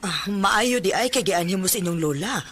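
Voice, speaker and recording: A woman's voice: medium pitch, animated, normal speed, fairly bright tone, soft background music, natural voice. A Filipino woman with a Visayan accent playing a weary, struggling abandoned mother in a radio drama. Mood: relieved, tired.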